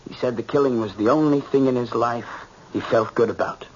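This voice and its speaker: A man's voice: mid-range voice, a little fast, natural voice. An actor playing a shaken, brooding young American man, a grown son in an old-time radio drama, intense and insistent. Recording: muffled, faintly noisy old radio recording.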